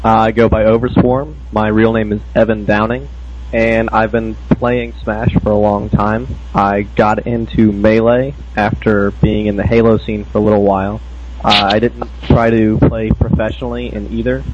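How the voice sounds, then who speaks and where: A man introducing himself as a guest on a podcast, matter-of-fact and even. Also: lowish voice, normal speed. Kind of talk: casual self-introduction. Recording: dull lo-fi mic with noise.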